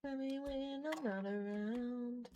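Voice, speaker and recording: A woman's voice: a little high, takes it slowly, dark tone, slight background noise. A woman in her thirties chatting casually on a live stream, laughing often and reading chat aloud while she tinkers with a keyboard at home. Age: 30s. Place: indoors at home, working on a keyboard.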